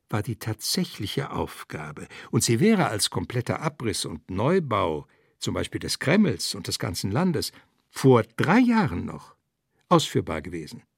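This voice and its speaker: A man narrating literary prose in a deliberate, emphatic way, breaking sentences into short phrases. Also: mid-range voice, gravelly, husky, dry recording, studio quality.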